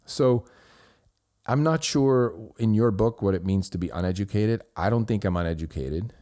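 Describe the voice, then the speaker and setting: A man's voice: on the low side, moderate pace, warm tone. A middle-aged man from Switzerland, a native Swiss German speaker, hosting a podcast and earnestly answering a listener's review, rambling with restarts. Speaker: podcast host.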